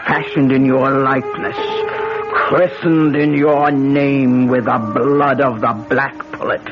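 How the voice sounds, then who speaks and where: Stern, low-voiced older obeah woman. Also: fairly even intonation, takes it slowly, over music. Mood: accusing. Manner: solemn, theatrical, accusatory. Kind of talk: 1970s radio drama acting. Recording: dull vintage radio recording.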